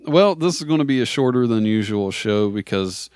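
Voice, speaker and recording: A man's voice: mid-range voice, animated, moderate pace, a little mellow, clear, resonant tone, no room sound, studio quality. An American man hosting a casual comedy podcast in an easygoing conversational way. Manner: laughs often while talking. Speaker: podcast host.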